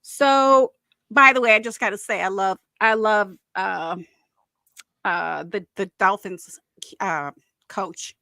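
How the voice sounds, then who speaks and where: A woman from Wisconsin with a Wisconsin accent, co-hosting a casual football chat, chatty and quick to laugh. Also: medium pitch, vocal fry, pushed and bright, dry recording. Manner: chatty, laughs often, many fillers. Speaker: podcast co-host.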